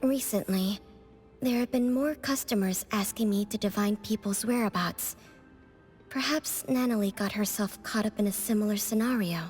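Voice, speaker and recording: A woman playing an enigmatic fortune-telling witch in a video game, speaking in a cryptic, deliberate, oracular manner. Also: medium pitch, a little mellow, lightly acted. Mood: solemn warning. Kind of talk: scripted video game voice acting. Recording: music behind the voice.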